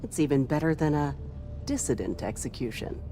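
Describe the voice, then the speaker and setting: A fanatically loyal female ship officer in a sci-fi war game. She speaks in a very low voice, earnest and coolly zealous, with faint music underneath. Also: expressive, moderate pace, dark tone. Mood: proud. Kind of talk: video game character dialogue.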